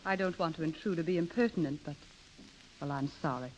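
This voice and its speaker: Thoughtful, tactful lady played by a woman, lowish voice, polite, 1930s radio acting, echoing vintage sound. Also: fairly quick, a little hiss or hum, natural voice. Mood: gentle, curious. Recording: reverberant vintage radio recording.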